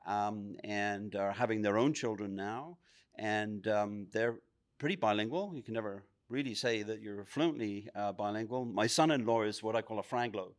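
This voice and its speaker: Man, 60s, fairly low voice, relaxed and reflective interview subject. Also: moderate pace. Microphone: stand mic close by.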